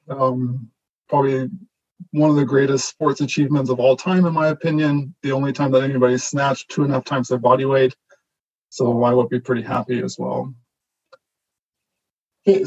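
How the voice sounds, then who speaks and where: A man whose first language is German, with a mixed German-Canadian accent, giving a relaxed online lecture full of fillers and restarts. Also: mid-range voice, husky, highs cut off. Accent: German-Canadian mix. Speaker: guest lecturer, associate professor.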